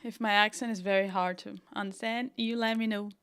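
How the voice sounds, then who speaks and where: A woman's voice: mid-range voice, fairly even intonation, a little fast, a bit bright, clean tone. A young woman from Brazil with a Brazilian accent mixed with a Northern Irish one, giving a chatty livestream design tutorial and muttering to herself as she works. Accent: Brazilian with a Northern Irish mix. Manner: chatty, thinks aloud while working, mutters to herself.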